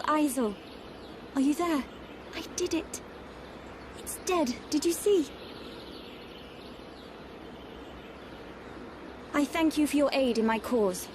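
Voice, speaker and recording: A woman's voice: high voice, background noise. An actress playing a solemn, dignified young noblewoman warrior in a game, with a formal, stately delivery. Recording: music behind the voice.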